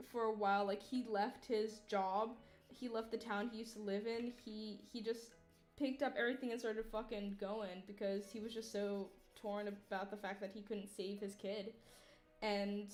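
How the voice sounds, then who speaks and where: A woman's voice: a little high, echoey room. A woman in her twenties chatting casually on a livestream while drawing, rambling, tired and yawning, swearing offhand and making self-mocking jokes. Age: 20s. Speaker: artist livestreamer.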